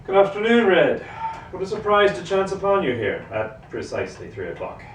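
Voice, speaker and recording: An actor playing a gentlemanly, bookish, brooding neighbor in a live book reading, speaking in a courtly, measured, musing way. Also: male voice, high voice, sing-song. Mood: playfully courteous. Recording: roomy, distant microphone, noisy ambience.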